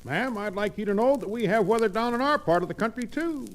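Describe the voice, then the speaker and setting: An actor playing a pompous, genial old medicine-show fraud, a comic sidekick in a radio western with florid, grandiose bluster. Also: male voice, high voice, expressive, fast.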